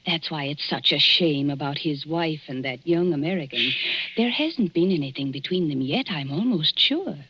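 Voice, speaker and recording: A woman's voice: low voice, lively intonation, normal speed. A woman playing a gossipy, politely scandalised English village woman in a 1940s radio drama. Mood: confiding, scandalised. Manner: gossipy, confiding. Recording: muffled, noisy 1940s radio recording.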